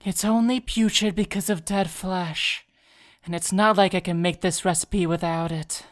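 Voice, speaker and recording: A callous villainous poison brewer acted by a woman in an audio drama. Her voice is slightly low. She talks in a cold, matter-of-fact way. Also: steady tone, moderate pace, no echo, studio quality, natural voice. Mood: cold, scheming. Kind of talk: fantasy audio drama acting.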